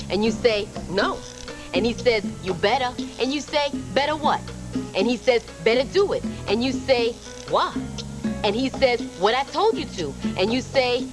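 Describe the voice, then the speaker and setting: A woman's voice: fairly high pitch, natural voice. An actress playing a brash, streetwise woman TV astrologer, giving blunt, sassy comic horoscopes. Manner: blunt, sassy, profane, acts out arguments. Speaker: TV horoscope host. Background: loud music.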